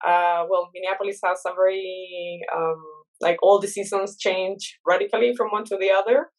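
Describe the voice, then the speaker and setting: A woman's voice: mid-range voice, steady tone, normal speed, rough recording. A woman in her thirties from Chile with a Chilean Spanish accent, speaking English as a second language as an interview guest, thoughtful and full of fillers and restarts. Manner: thoughtful, many fillers and restarts, laughs often. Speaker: guest artist. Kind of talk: casual interview chat.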